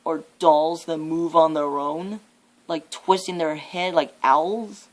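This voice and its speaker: A man voicing a menacing devil in an ASMR horror roleplay, with an ominous, drawn-out, theatrical delivery. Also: on the high side, fairly even intonation, a bit bright. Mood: rising menace. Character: menacing devil, a living nightmare preaching fear and death.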